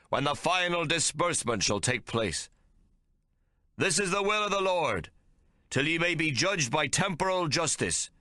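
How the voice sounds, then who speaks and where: A man's voice: on the high side, pushed and bright, dry recording, studio quality, voice pushed up. A man voicing a zealous, pompous whaling captain who preaches in archaic thee-and-thou speech.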